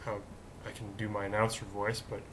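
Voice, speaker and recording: Chatty deep-voiced man hosting a vlog. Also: monotone, fairly quick, a bit bright, slight background noise. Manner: chatty, self-mocking, thinks aloud with many fillers. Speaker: vlog host. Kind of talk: casual rambling vlog monologue.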